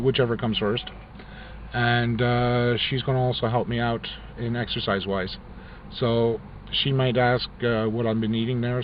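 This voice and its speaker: Brief: middle-aged man, casual diary vlog, rambling delivery, muffled lo-fi audio with light room hum. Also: mid-range voice. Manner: rambling, with frequent restarts and fillers. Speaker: vlogger. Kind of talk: casual talk to camera. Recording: dull lo-fi recording with faint ambience.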